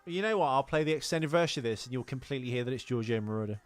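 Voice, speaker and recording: Very animated man podcasting. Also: medium pitch, very fast. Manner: joking, self-mocking, swearing. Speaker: podcast host. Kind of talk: casual chat.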